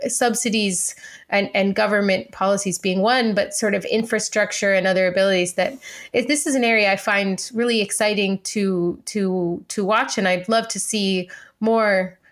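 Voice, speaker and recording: A woman's voice: medium pitch, steady tone, normal speed. A woman co-hosting a podcast in a conversational, analytical style, thinking aloud as she goes. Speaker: podcast co-host.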